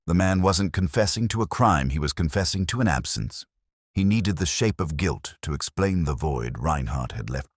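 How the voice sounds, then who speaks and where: A man narrating as a brooding, reflective English detective, in measured, literary, melancholy first-person mystery storytelling. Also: very deep voice, husky, dry recording, studio quality, exaggerated voice.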